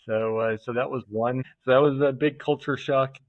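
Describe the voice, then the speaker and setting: A man speaks as a podcast guest, halting and reflective, circling back and restarting as he thinks aloud. His voice sits at a middling pitch and sounds dry and close. The recording is dull and slightly muffled. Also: fairly even intonation, no room sound. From United States. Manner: thoughtful, halting, with many fillers and restarts. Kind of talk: casual conversational interview.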